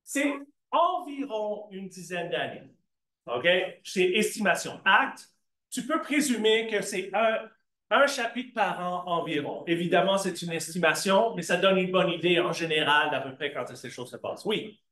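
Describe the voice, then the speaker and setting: A man leads a church Bible class in a lively, conversational way, asking questions and then answering them himself. His voice sits high for a man, and the echo of the room comes through around him. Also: measured pace. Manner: slips into English asides. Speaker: Bible teacher. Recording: echoey room.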